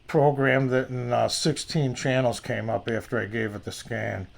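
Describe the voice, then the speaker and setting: A man's voice: medium pitch, level tone, moderate pace, creaky. A man casually reviewing and demonstrating a product in a rambling, folksy way. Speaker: radio reviewer. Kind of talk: casual hands-on product demo.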